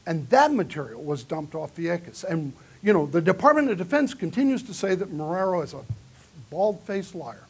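A man's voice: sits high, animated, normal speed, breathy edge. A man giving a conference keynote in a large hall, lecturing earnestly and taking audience questions. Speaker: keynote speaker. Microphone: far camera in the audience.

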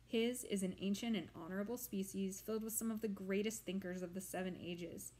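A woman voicing an ancient, weary male swamp monster who speaks in a slow, grand and formal way. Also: mid-range voice, steady tone, mellow, natural voice. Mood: lecturing.

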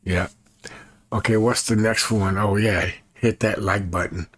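A man in his fifties with a husky voice, chatting casually to camera in a folksy way and repeating himself as he demonstrates. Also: fairly low pitch, steady tone, a little slow, dry recording. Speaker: evangelist and video creator. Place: small bedroom, indoors.

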